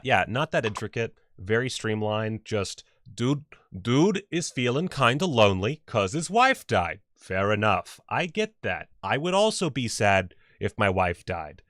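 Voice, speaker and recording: Rambling, profane man with a low voice. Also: fairly bright tone, no room sound, clean studio sound. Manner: rambling, profane, self-mocking. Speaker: podcast host. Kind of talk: casual movie-discussion livestream.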